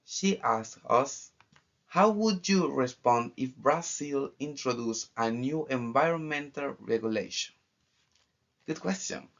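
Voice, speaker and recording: A young man from Argentina with an Argentine accent, a student giving a formal final project presentation in measured phrases with pauses. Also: mid-range voice, animated, a bit bright. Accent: Argentine Spanish. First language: Spanish. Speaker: international trade student. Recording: dull lo-fi recording.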